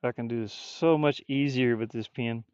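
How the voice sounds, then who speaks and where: A man chatting casually on a livestream while drawing, rambling and muttering to himself, with bursts of loudness. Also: mid-range voice, steady tone, moderate pace, smooth, dry recording, lo-fi sound. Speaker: streamer drawing digital art.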